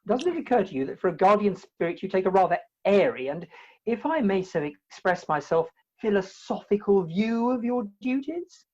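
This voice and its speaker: A woman playing a flustered, melodramatic Victorian gentleman in a comic radio play, with theatrical, pompous delivery over a home video call. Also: mid-range voice, big pitch swings, normal speed, dull audio. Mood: querying, indignant. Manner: theatrical, exclamatory, pompous wording.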